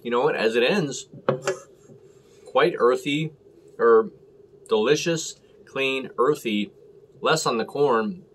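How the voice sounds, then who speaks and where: An American man hosting a casual beer review to camera, talking in halting, clipped short phrases. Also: mid-range voice, husky. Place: small room at home, indoors.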